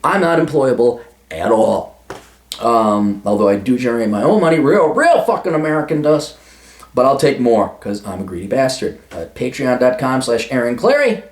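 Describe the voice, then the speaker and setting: A man's voice: medium pitch, big pitch swings, normal speed. A man giving crude, emphatic advice to a listener and swearing freely. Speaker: advice vlogger answering a listener letter. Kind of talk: blunt, profane advice monologue. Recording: roomy echo.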